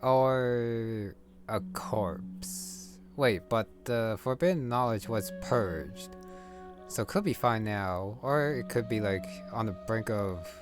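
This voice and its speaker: A man giving casual playthrough commentary on a video game, musing and thinking aloud. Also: fairly low pitch. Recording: game music behind.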